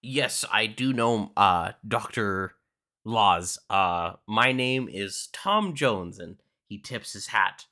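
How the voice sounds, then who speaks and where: A man playing a tabletop game in character, speaking in a mock-courtly, gentlemanly manner. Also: lowish voice, expressive, slow.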